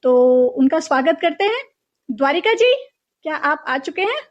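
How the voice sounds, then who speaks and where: A woman's voice: sits high, very animated, moderate pace, lo-fi sound. A woman hosting a live programme in a casual, conversational way, talking with listeners and a guest. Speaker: host of a writers' interview programme.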